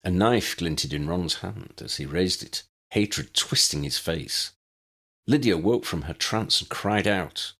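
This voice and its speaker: A man's voice: low-pitched, takes it slowly, fairly bright tone, husky. A man reading scripted fantasy fiction as an audiobook narrator.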